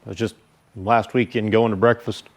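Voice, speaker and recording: A man giving a casual product review as a motorcycle vlogger, speaking informally and haltingly. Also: fairly low pitch, flat delivery. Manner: informal, halting, unhurried delivery.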